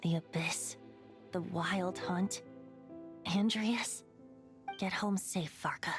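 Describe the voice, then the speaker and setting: A woman voicing a youthful male bard in a video game, playful, breezy and eloquent, with a gentle self-assurance. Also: on the low side, steady tone, takes it slowly, over music. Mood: wistful. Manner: eloquent, teasing.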